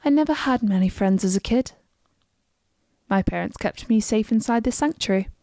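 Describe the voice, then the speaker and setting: A woman acts out a young female assassin from a fantasy video game, reflective about a sheltered past. The voice sits at a middle pitch with measured, wistful delivery, recorded close and dry. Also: lively intonation, dark tone, no echo, close to an everyday voice. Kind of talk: scripted fantasy game dialogue.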